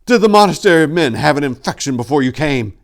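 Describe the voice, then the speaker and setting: A man's voice: a little high, expressive, quick pace, vocal fry, voice pushed up. A man voicing a hot-tempered, suspicious warrior monk, rash and intense, in a dramatic fantasy story reading. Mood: breathless, suspicious.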